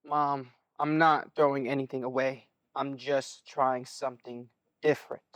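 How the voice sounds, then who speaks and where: A man's voice: medium pitch, flat delivery, a little slow, a bit bright, no echo, natural voice. A young man playing a mild-mannered college athlete and dutiful son in a stage play, earnest and placating. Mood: defensive, earnest.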